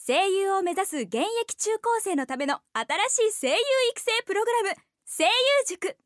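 Very high-pitched female commercial announcer. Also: animated, normal speed, no room sound, studio quality. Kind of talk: scripted promotional narration. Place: dry studio.

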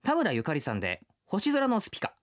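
A man's voice: sits high, wildly swooping pitch, fairly quick. A young man co-hosting a radio show, chatting casually in a playful, teasing way.

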